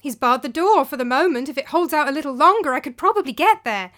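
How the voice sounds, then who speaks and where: Woman reader voicing an anxious young man in a storybook, urgent and earnest, pitched a bit high. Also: expressive, fast, a bit bright.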